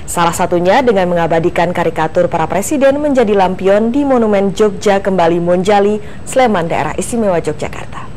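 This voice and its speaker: A woman's voice: medium pitch, fairly quick, a little mellow, a little hiss or hum. A woman presenting the news from the studio as anchor, formal in delivery.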